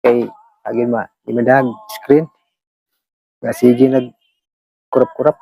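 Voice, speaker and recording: A Filipino vlog host with a Visayan accent chatting casually to listeners, rambling in a halting, repetitive and apologetic way. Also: mid-range voice, level tone, vocal fry, warm tone, husky, no echo. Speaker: vlog host who shares radio dramas.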